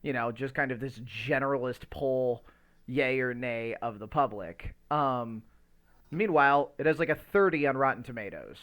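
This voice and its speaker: A man's voice: mid-range voice, normal speed, fairly bright tone. Rambling, stammering man hosting a podcast. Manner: rambling, stammering, frequent swearing. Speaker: podcast host and live streamer. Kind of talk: casual unscripted podcast chat.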